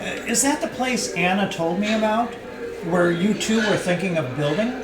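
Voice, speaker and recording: A man's voice: high-pitched, lively intonation, normal speed, over music. A man reading the part of an earnest, probing friend in a novel, with a questioning manner. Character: earnest, probing, mildly annoyed male friend. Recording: echoey roomy live reading.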